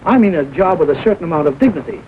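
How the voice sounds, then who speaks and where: An actor playing a kindly, sensible small-town doctor, measured and well-spoken, in 1940s film style. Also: male voice, on the high side, lively intonation, natural voice. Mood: kindly, thoughtful. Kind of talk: 1940s film drama acting. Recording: dull, noisy old film soundtrack.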